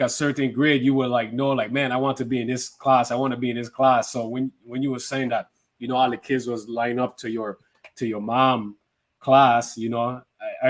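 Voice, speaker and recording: A man hosting a podcast, asking loose, repetitive questions and sharing memories. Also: mid-range voice. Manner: loose, repeats words while framing questions. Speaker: podcast host. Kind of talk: casual interview.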